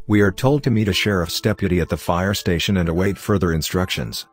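A man reading online horror stories aloud as a scripted narration, in a low, steady storytelling delivery. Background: faint music.

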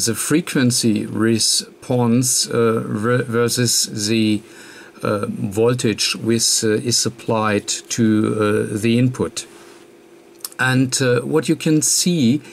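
Man, tutorial presenter, creaky gravelly voice, mid pitch, halting delivery, frequent pauses. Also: slight background noise. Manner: halting, hesitant, pausing often mid-sentence. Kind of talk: unscripted technical explanation. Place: indoor workbench, hands and papers filmed.

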